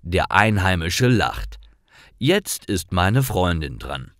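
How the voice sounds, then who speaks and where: A man narrating scripted stories for language learners in simple, clear sentences. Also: sits low, sing-song, slow pace, fairly bright tone, no room sound, clean studio sound.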